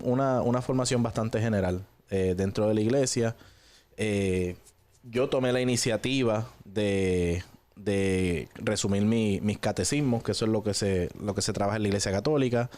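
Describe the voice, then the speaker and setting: Low-pitched Puerto Rican man, conversational. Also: moderate pace, a little mellow. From Puerto Rico. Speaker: guest giving a personal testimony. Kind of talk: relaxed conversational storytelling.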